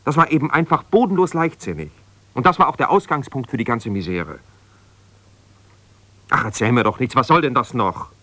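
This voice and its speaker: An actor playing an embittered, self-righteous husband, a lawyer, reproachful and exasperated on one side of a phone call in a naturalistic radio play. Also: male voice, medium pitch, expressive, moderate pace, pauses often, sharp tone, no room sound. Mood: exasperated, accusing. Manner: defensive, reproachful, exasperated, insistent.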